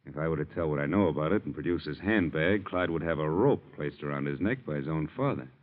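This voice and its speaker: Sly chauffeur blackmailer, very deep, swooping delivery, oily and mock-polite, tinny vintage radio sound. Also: male voice, expressive, quick pace. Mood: accusing. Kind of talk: old-time radio drama acting. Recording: muffled tinny old radio recording.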